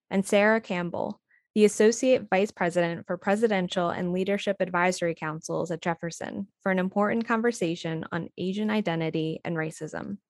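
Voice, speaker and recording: A woman's voice: medium pitch, dry recording, clean studio sound. A woman co-hosting a podcast, reading scripted narration in a composed, articulate way. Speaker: podcast co-host.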